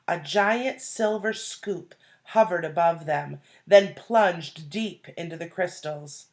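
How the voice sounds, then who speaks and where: A woman reading a children's storybook aloud like a teacher, deliberately, pausing to explain and put questions to young listeners. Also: lowish voice. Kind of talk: expressive storybook read-aloud with asides and questions.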